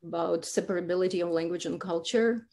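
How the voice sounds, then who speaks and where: Earnest woman, halting. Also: fairly low pitch, vocal fry, darkish tone, slight background noise. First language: Russian. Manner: earnest, halting, with many restarts. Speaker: linguistics speaker. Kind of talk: academic talk over slides.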